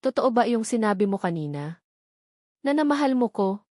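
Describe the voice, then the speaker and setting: A woman voicing a tearful young woman who speaks softly and hesitantly in a hoarse voice. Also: fairly high pitch, very animated, moderate pace, no echo, studio quality. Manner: soft, hesitant.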